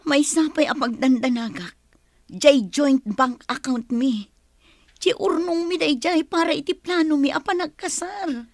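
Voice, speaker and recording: A woman's voice: sits high, unhurried, no echo, studio quality. An actress playing a distressed young woman who pleads tearfully in a radio drama, with a Filipino accent. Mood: anxious. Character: distressed young woman pleading over her gambling-addicted fiancé. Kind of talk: Philippine radio drama acting.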